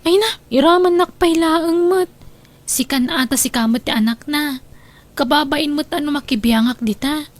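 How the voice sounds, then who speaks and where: A woman's voice: sits high, normal speed, natural voice. An actress with an Ilocano accent playing a frank, teasing young woman, the reluctant best friend in a radio drama. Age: young adult.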